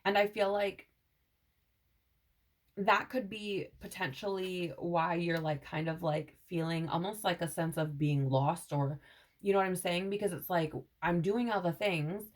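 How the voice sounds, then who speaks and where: A woman's voice: lowish voice, steady tone, measured pace. A woman giving a casual spiritual tarot reading to viewers, meandering and repeating "right?" as she channels messages, her throat a little scratchy. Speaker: tarot reader.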